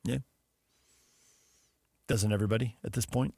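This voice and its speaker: A man's voice: lowish voice, fairly even intonation, moderate pace, vocal fry, mellow, no room sound. An American man hosting a sports talk radio show, bantering with guests and colleagues.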